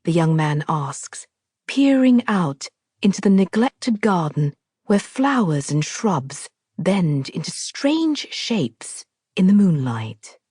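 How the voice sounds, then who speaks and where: Female audiobook storyteller, deliberate and measured, lowish husky voice, recorded very dry. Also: big pitch swings, warm tone, no echo. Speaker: author narrating her own audiobook. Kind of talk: scripted literary narration, storytelling.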